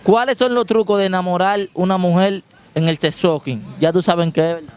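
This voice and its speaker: Brash high-voiced street interviewer. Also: male voice, fairly even intonation, a little fast, darkish tone, clean tone. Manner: brash, teasing, pushes for answers. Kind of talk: joking street vox pop. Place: busy city street outdoors. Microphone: handheld mic.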